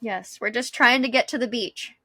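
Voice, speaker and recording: A woman's voice: fairly high pitch, normal speed, pushed and bright, husky, dry recording. A young adult woman talking casually and reflectively as a podcast co-host. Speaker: school psychologist. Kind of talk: casual conversational podcast talk.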